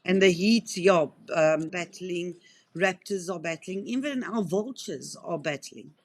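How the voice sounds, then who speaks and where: A woman's voice: on the low side, measured pace. A South African woman in her fifties explains things in an earnest, talkative way. She often backs up and restarts her sentences. She sounds like a wildlife rehabilitator answering interview questions. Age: 50s.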